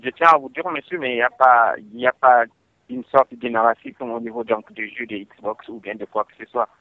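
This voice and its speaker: A man's voice: mid-range voice, sing-song, fairly quick, creaky, no room sound. A man working as a customer support agent, talking on the telephone in a polite, repetitive, reassuring way. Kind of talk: customer service phone call. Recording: narrow tinny phone line, dry.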